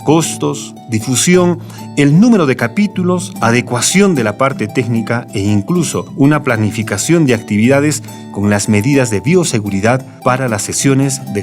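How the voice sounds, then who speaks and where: Male documentary narrator over guitar music. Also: medium pitch. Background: plucked guitar music.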